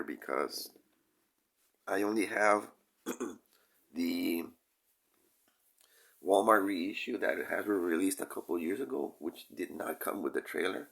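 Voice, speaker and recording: A man getting over a cold, with a crackly voice, hosting a casual toy review in a hesitant, rambling way with frequent pauses. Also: deep voice, fairly bright tone. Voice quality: crackly, gravelly. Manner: hesitant, rambling, pausing often, frequent throat clearing. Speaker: toy review channel host. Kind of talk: casual product review talk.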